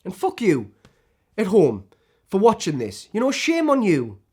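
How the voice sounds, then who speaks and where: A man's voice: fairly high pitch, animated, normal speed, a little mellow, lightly acted. A man performing a dramatic screen monologue as a disillusioned, self-loathing celebrity actor, sardonic and emotionally exposed. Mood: angry, exasperated.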